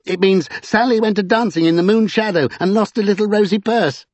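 A man's voice: high voice, brisk, darkish tone, no echo, lo-fi sound, pitched above the performer's own voice. A man voicing a gleeful, teasing vagabond who talks in riddles in a storybook reading. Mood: mocking, teasing. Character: gleeful, capering, mischievous vagabond man, a living book of magic.

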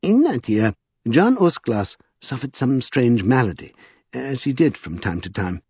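A man's voice: mid-range voice, big pitch swings, measured pace, a little mellow, husky, no echo, dull audio, pitched above the performer's own voice, heavily acted. A man voicing a bookish, thoughtful magician who lectures precisely in an audiobook. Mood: thoughtful, recounting. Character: pedantic, bookish, thoughtful male scholar magician.

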